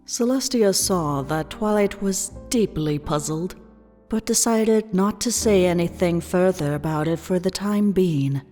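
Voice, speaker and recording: A woman narrating a scripted fan fiction story in a measured storybook style. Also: lowish voice, warm tone. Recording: long reverb, music behind.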